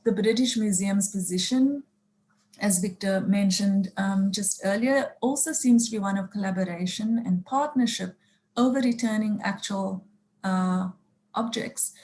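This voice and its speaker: A woman's voice: medium pitch, a little mellow. A woman from South Africa with a South African accent, a museum curator giving prepared remarks and questions on a panel, hesitating often with fillers and restarts. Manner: halting, many fillers and restarts. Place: online panel event.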